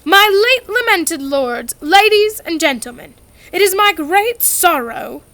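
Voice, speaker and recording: A woman voicing a proud, touchy male ghost gentleman in a storybook reading, formal and stiff. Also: very high-pitched, very animated, takes it slowly, dry recording, pitched above the performer's own voice, full character voice. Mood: pompous. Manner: formal, stiff, pompous speechmaking.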